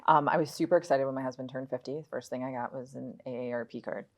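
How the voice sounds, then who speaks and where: A woman's voice: very deep voice, fairly even intonation, fast talker, a little mellow. A woman in her late forties casually asking questions in a conversational interview. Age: late 40s.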